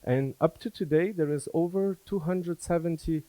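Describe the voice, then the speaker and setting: A man from Afghanistan who was schooled in France, giving a business presentation as a company founder, with halting, hesitant phrasing. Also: a little high, mellow, no echo. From born in Afghanistan, schooled in France.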